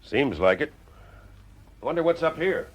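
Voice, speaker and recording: An actor playing a wry, cool private eye in a radio detective drama, speaking in a dry, understated way. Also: male voice, fairly high pitch, big pitch swings, a little slow. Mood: alert, hushed. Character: wry, cool private eye telling his own story. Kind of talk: radio detective drama with first-person narration.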